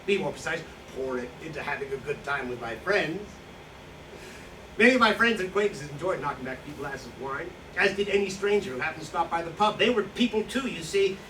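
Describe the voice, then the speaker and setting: Man playing a boastful profiteer, high-pitched, echoey room. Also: lively intonation, brisk, fairly bright tone. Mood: defiant, boastful. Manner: rambling, boastful, self-justifying, loses his thread. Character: boastful, hard-drinking male Slovak profiteer, self-styled outlaw. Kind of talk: staged play reading, monologue-like recollection. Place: indoor staged reading, distant microphone. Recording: echoey, noisy room recorded from far off.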